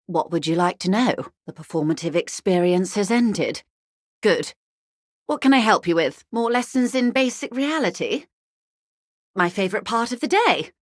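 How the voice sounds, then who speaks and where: An actress playing a wealthy corporate negotiator in a video game, refined and polished, speaking with urbane, wryly courteous, measured irony. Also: female voice, mid-range voice, expressive, no echo, clean studio sound, lightly acted. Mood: amused, courteous. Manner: urbane, measured, dryly ironic. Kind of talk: scripted game dialogue, conversational.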